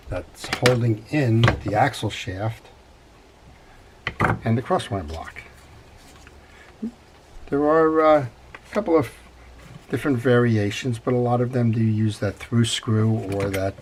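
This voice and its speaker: An older man with a head cold, congested, hosting a relaxed, rambling how-to on servicing a fishing reel at his workbench. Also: on the low side, slow, pauses often, slight background noise. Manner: relaxed, rambling with frequent fillers. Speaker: fishing reel repair channel host. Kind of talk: casual how-to narration. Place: workshop bench.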